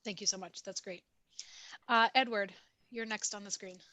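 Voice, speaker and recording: A woman's voice: mid-range voice, fairly even intonation, normal speed. A woman hosting a video-call meeting, giving brief, polite cues to the next speaker. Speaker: host running introductions. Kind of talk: meeting facilitation.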